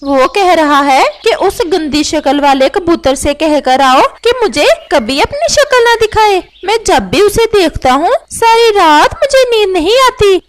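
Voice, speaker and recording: Sly cartoon crow played by a woman, female, very high and swooping, gossipy, falsely sweet, goading. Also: animated, measured pace, hardly any pauses. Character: sly, scheming female crow stirring up quarrels. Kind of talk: animated children's moral story dialogue.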